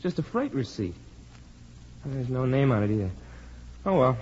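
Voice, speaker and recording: An actor playing an eager, puzzled young college student in a 1940s radio drama. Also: male voice, medium pitch, sing-song, normal speed, a little mellow, natural voice. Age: young adult. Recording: muffled, hissy 1940s radio recording.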